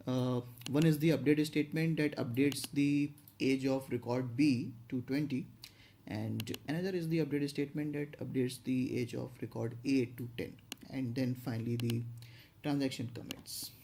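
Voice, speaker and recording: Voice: medium pitch, steady tone, normal speed, vocal fry, warm tone, a little hiss or hum. An instructor narrating an explanatory tutorial, going step by step with some hesitation and repetition.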